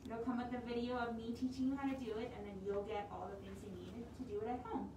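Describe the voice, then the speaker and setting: High-voiced young woman in a roomy space. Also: quick pace. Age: young adult. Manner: animated, simple instructions to small children. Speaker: children's librarian leading storytime. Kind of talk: preschool storytime talk, songs and read-aloud. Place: indoor library room with large windows. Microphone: camera a few metres away. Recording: roomy, distant mic, clear background noise.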